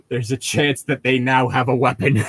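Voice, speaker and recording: A man's voice: mid-range voice, steady tone, unhurried, fairly bright tone, breathy edge, no room sound. A man in casual, joking conversation among friends.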